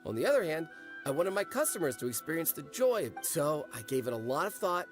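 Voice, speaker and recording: Eager young stall owner. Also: male voice, on the high side, sing-song, a little fast, faint music behind. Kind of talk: video game voice acting.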